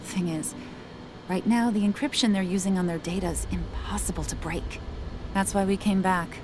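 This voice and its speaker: An actress playing an earnest, determined rebel infiltrator, speaking seriously and purposefully in a video game cutscene. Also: female voice, mid-range voice, fairly even intonation, moderate pace, background noise, close to an everyday voice.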